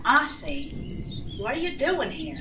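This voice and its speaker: A woman's voice: high-pitched, expressive, measured pace, sharp tone, exaggerated voice. A woman playing a guarded, hesitant young cartoon pony mare in an audio story reading. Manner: hesitant, evasive. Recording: roomy, distant mic, faint noise.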